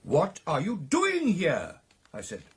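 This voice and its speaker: An actor playing an excitable British club gentleman, telling his tale in a theatrical, hesitating and emphatic radio drama style with a husky voice. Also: fairly high pitch, takes it slowly, exaggerated voice. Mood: animated, amused. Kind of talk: dramatic storytelling in a radio play.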